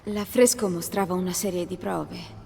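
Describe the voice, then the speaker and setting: A woman's voice: fairly low pitch, normal speed, gravelly, a little mellow, slight background noise. A woman playing a determined young adventurer in an Italian-language video game. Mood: focused.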